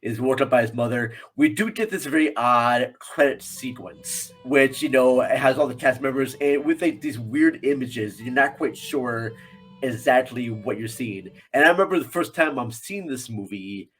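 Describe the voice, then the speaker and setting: A man's voice: medium pitch, normal speed, fairly bright tone, soft background music. A man appearing as a podcast guest, chatting casually about films. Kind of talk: casual film chat.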